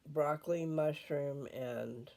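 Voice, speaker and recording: An older man chatting casually and reading scripture at home, unhurried and wandering as he thinks aloud. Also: on the high side, vocal fry, darkish tone.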